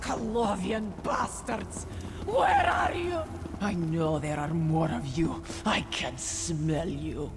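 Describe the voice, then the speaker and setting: Hot-tempered feline warrior, video game, widely swinging pitch, long reverb, noisy backdrop. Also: female voice, big pitch swings, takes it slowly, exaggerated voice. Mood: enraged. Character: fierce, hot-tempered young female cat-folk warrior. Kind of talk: video game character acting. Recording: long reverberant hall, clear background noise.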